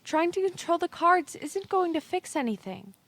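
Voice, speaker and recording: A woman's voice: extremely high pitch, sing-song, normal speed, heavily acted. A woman playing a frank, meddling young woman in an audio play, with a blunt, lecturing manner.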